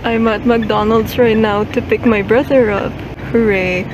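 A young woman from the Philippines speaks with a Filipino accent, her voice sitting a little high. She rambles in a self-conscious, casual way, trailing off with fillers, recorded by a camera low on the dashboard. Loud music plays underneath. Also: steady tone, unhurried, rough recording. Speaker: vlogger. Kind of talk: casual vlog chat. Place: inside a parked car. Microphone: camera mounted low behind the steering wheel.

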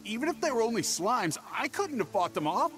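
Game role: nervous young travelling merchant, high animated voice, evasive, faint music behind. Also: male voice, a little fast, heavily acted. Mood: flustered. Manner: hesitant, stammering, evasive. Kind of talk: scripted video game voice acting.

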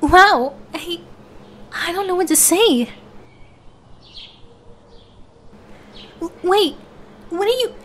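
Woman acting a very high-pitched, shy young woman. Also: expressive, measured pace, a bit bright, slight background noise, exaggerated voice. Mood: shy, tender. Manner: hesitant, stammering, bashful. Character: shy, flustered young woman confessing to best friend. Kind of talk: ASMR roleplay audio drama.

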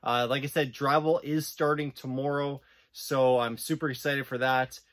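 A man's voice: mid-range voice, steady tone, normal speed, fairly bright tone, dry recording, studio quality. Casual young man vlogging a renovation. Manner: casual, explanatory, says "guys" and "right?" often. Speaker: real estate investor vlogger. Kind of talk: casual walkthrough vlog. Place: basement under renovation, indoors. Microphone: phone camera held at arm's length.

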